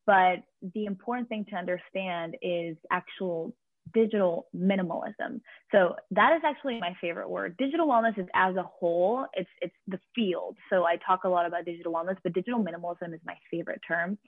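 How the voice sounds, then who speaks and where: A woman's voice: fairly low pitch, a little fast, dry recording. A woman from Venezuela with a Venezuelan Spanish accent, a podcast guest talking energetically with many restarts. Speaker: guest, career coach. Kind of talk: podcast interview. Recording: dry, tinny phone-like line.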